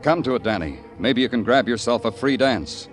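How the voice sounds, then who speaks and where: An actor playing a world-weary police detective, narrating in a brooding, hard-boiled 1950s radio noir style. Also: male voice, medium pitch, animated, a little fast, lightly acted. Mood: weary, grim. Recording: old radio recording with loud music.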